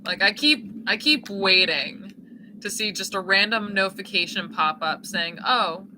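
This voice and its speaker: A woman's voice: mid-range voice, unhurried, noisy background. A woman streamer chatting casually and teasing her friend while playing a game. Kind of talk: casual chat while gaming.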